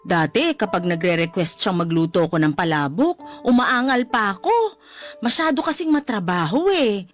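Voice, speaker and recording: A woman playing a loving, middle-aged widowed mother with a Filipino accent in a radio drama, tender, gently teasing and encouraging. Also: medium pitch, expressive, normal speed, faint music behind, lightly acted. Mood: fond reminiscing.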